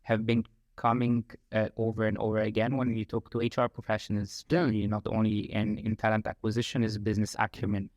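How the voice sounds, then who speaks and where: Man, from Egypt, low voice, dry close mic, podcast guest, filler-heavy halting speech. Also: level tone, a little mellow, dry recording. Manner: frequent fillers, repeated "like, again". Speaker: guest, talent acquisition lead. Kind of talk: conversational interview.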